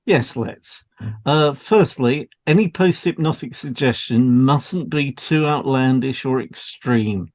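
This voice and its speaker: A man's voice: mid-range voice, takes it slowly, darkish tone. Man reading an educational podcast script. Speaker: podcast co-host, hypnotherapist.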